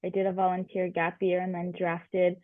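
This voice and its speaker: A woman's voice: on the low side, monotone, moderate pace, no room sound. A woman in her twenties who grew up in the United States, introducing herself casually as a reserve officer, with fillers. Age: 20s. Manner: casual, with fillers and self-corrections. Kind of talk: self-introduction in an interview. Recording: tinny phone-like line.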